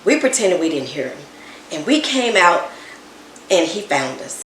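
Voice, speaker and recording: Woman, children's story read-aloud, expressive, roomy echo, faint hiss. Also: fairly low pitch, measured pace. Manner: expressive, animated first-person storytelling. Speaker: storybook reader.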